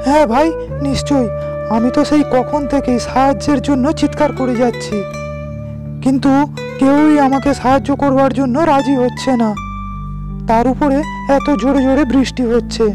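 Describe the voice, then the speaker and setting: A man's voice: very high voice, steady tone, normal speed, over music. A man voicing an exasperated wealthy merchant who pleads for help in a cartoon moral story. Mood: relieved, complaining. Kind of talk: animated moral story dialogue.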